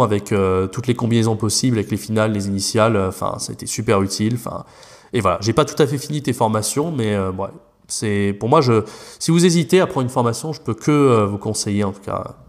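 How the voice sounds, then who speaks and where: A man's voice: fairly low pitch, brisk. A French man, a language teacher, talking casually as a podcast guest, rambling with many fillers and restarts.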